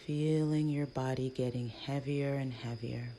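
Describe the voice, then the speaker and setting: A woman leading a guided meditation in a hushed, soothing, breathy voice with long pauses between phrases. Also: very deep voice, fairly even intonation. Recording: faint background noise.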